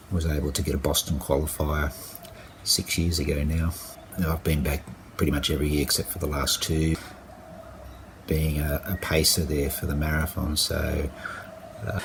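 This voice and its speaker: Man, very low voice, halting with fillers, noisy recording. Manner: halting, with many fillers and restarts. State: croaky with a cold. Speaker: vlogger. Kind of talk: casual video diary.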